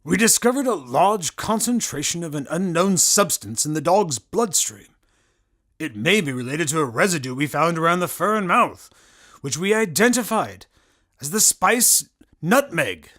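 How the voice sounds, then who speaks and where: A man's voice: fairly high pitch, sing-song, moderate pace, fairly bright tone. A man playing a matter-of-fact, clinical medical examiner in an audio drama. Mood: intrigued, clinical. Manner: clinical, explanatory. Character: matter-of-fact male medical examiner reporting odd findings.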